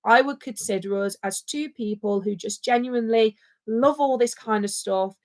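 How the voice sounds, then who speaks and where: A woman's voice: on the high side, normal speed, a little mellow. A woman co-hosting a casual livestream chat, speaking with passion and repeating her points for emphasis. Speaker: co-host.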